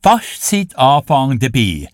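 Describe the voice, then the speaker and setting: A man's voice: medium pitch, wildly swooping pitch, takes it slowly, no echo, clean studio sound. An elderly Swiss German man in his eighties, a radio guest telling anecdotes from his life in a chatty, storytelling way. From Switzerland. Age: 80s. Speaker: radio show guest and music presenter. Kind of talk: casual interview storytelling.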